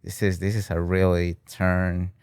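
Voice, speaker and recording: A man's voice: sits low, a little slow, clear, resonant tone, no echo, studio quality. A man in his thirties from Peru, a guest chatting casually in an interview and filling his talk with "you know" and "right?". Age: 30s. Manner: relaxed and talkative, many "you know" and "right?", slips into Spanish. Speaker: guest, bartending business owner. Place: podcast studio with flags on the wall.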